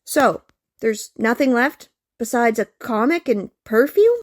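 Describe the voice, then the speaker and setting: A stern, sarcastic, unforgiving woman, acted out by a woman in an audio comedy-drama. Her high voice is curt and deliberate, in a dry recording with no room around it. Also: animated, no room sound. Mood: annoyed.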